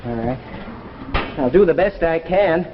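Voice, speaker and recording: An actor playing an eager, anxious young lab assistant who travels through time, earnest and stammering, in 1950s sci-fi drama style. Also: male voice, a little high, animated, measured pace, noisy background. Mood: reluctant, resigned. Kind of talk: 1950s radio-era sci-fi drama acting. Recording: dull vintage broadcast, long reverb, music behind.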